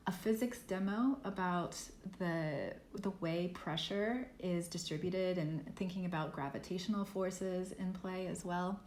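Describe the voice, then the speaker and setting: Woman teaching a software workshop, echoey. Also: lowish voice. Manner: relaxed, thinking aloud with fillers. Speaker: art workshop instructor. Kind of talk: software tutorial lesson. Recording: echoey room with faint noise.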